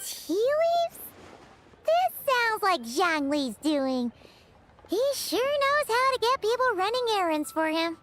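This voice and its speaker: A woman voicing a tiny, cheerful, excitable childlike companion creature in a video game, squeaky and chatty. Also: slow pace, background noise, full character voice. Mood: indignant, puzzled. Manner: bubbly, exclamatory, refers to self in third person. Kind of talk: English video game voice acting.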